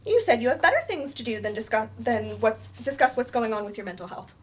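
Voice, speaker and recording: A woman's voice: on the high side, big pitch swings, fairly quick, husky. A woman acting in a staged play reading as a frank, exasperated therapist, pointed and confrontational with her patient.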